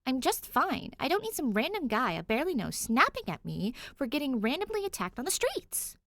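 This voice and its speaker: A woman's voice: high voice, wildly swooping pitch, brisk, a bit bright, dry recording, pitched above the performer's own voice, heavily acted. A woman voicing a stubborn, grumpy young girl with a bitter, hot-tempered manner in a fan fiction audiobook. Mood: hotly defiant.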